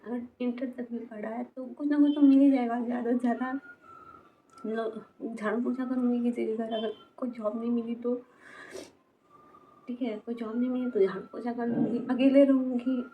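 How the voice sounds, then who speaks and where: A woman in her twenties speaks confessionally to camera in a fairly high voice. Her delivery is halting, circling back over words and leaving sentences unfinished. The sound is thin and phone-like, with some ambient noise behind her. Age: 20s. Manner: halting, repetitive, trailing off mid-sentence. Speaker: vlogger. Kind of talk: personal confessional talk to camera. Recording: tinny phone-like sound, noisy background.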